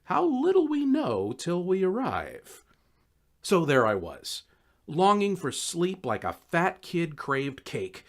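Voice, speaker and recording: A man reading a scripted first-person story in a wry, conversational, expressive way. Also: fairly high pitch. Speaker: audiobook narrator.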